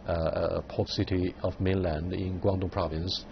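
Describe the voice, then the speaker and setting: A man hosting a studio panel, posing long questions with measured pacing and frequent hesitations. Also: low voice, little pitch movement, gravelly, dull audio, slight background noise. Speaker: host.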